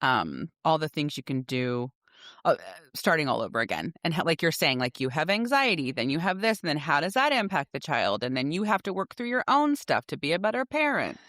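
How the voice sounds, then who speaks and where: A woman's voice: deep voice, big pitch swings, a little fast, a bit bright, dry recording, clean studio sound. A middle-aged woman co-hosting a podcast, talking casually and conversationally about everyday life. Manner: conversational, often acts out dialogue from anecdotes. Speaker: podcast co-host. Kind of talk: casual personal chat with anecdotes.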